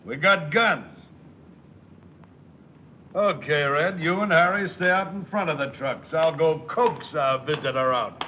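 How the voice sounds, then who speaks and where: An actor playing a threatening gangster boss, loud and commanding, in the style of an old-time radio crime drama. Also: male voice, fairly high pitch, unhurried, muffled recording, background noise, natural voice. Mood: menacing. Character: threatening male gangster boss hunting an intruder with guns. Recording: band-limited old radio recording.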